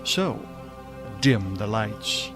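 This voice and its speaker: A man's voice: lowish voice, sing-song, extremely slow. A man announcing a radio theater show in a dramatic, ominous scripted style. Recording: loud music over the voice. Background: loud music.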